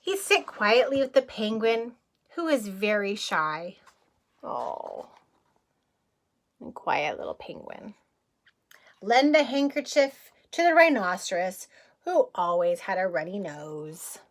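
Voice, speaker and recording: A woman teacher reading a picture book aloud to young children, expressive, with casual asides and questions to the listeners. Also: medium pitch, slow, long pauses. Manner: expressive, addresses children with questions and simple explanations.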